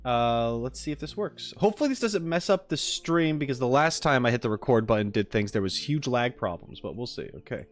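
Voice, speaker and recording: A man's voice: medium pitch, animated, brisk, few pauses. Casual male streamer, rambling and informal. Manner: informal, rambling, self-deprecating joking. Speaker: livestream host, game reaction streamer. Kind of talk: casual livestream chat with viewers. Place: home streaming setup with green screen. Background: music.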